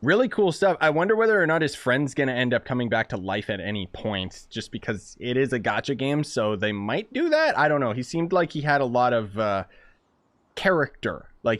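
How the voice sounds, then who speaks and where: A man's voice: mid-range voice, a bit bright, no room sound. A man giving casual, rambling gameplay commentary in an informal way. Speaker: gaming YouTuber. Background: game music.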